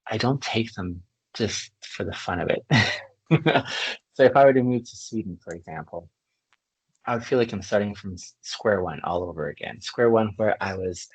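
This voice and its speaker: A podcast host from Canada talks in an articulate, reflective way and laughs easily. His voice is fairly low with a husky edge, and the recording sounds close and dry. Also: no room sound, rough recording. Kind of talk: conversational interview.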